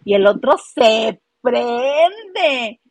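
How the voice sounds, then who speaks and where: A woman's voice: a little high, big pitch swings, drawn-out words, dry recording. A woman with a Mexican accent co-hosting a casual celebrity gossip live stream, playful and teasing as she talks and reads out viewer comments.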